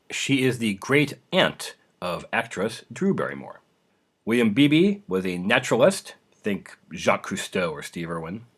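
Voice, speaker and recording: A man with a fairly low voice hosts a podcast. He delivers a scripted introduction, explaining references point by point for listeners. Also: husky. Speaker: podcast host.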